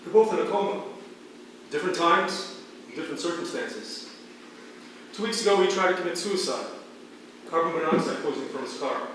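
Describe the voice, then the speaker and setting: An actor playing a matter-of-fact, wryly sympathetic hospital doctor in naturalistic stage dialogue. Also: male voice, fairly high pitch, brisk, long pauses, fairly bright tone, lightly acted. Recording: echoey distant stage recording, some noise.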